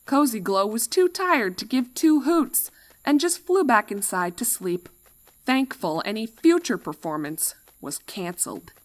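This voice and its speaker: A woman reading a scripted story as narrator, with a dramatic and expressive delivery. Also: on the high side, a little slow.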